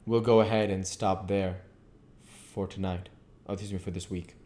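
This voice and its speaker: A man reading a novel aloud in a low, quiet, steady way, as the reader of his own series. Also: moderate pace. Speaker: audiobook reader. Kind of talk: amateur read-aloud of a novel.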